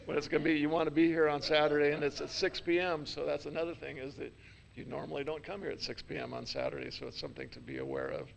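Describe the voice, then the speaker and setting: A man reading out church announcements in a casual, halting way, full of fillers and restarts, with light self-mocking humor. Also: on the high side, animated, vocal fry, highs cut off. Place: church sanctuary.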